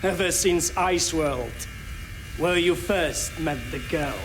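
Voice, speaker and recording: Slow, menacing ancient evil being. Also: male voice, fairly high pitch, noisy background. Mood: gloating menace. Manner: deliberate, menacing. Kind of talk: television drama acting.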